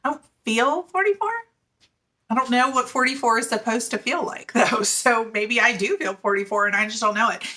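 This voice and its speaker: A chatty woman in her forties talks straight to the camera about her knitting. Her voice is bright and fairly high, and she laughs easily between "um"s and "like"s as she goes. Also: normal speed. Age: 40s. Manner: chatty and giggly, with many fillers. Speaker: knitting podcast host; middle school teacher. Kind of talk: casual chat to camera.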